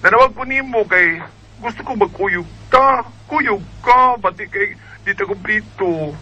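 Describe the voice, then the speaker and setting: Radio drama sidekick: man playing gossipy young Bisaya pal, very high and sharp voice, teasing. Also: unhurried, dull audio, exaggerated voice. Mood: breezy, insistent. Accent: Filipino, Bisaya. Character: chatty, teasing, gossipy young friend Sam.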